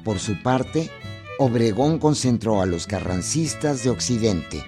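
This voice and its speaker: A man's voice: medium pitch, lively intonation, measured pace, music in the background. A man narrating a scripted historical radio drama.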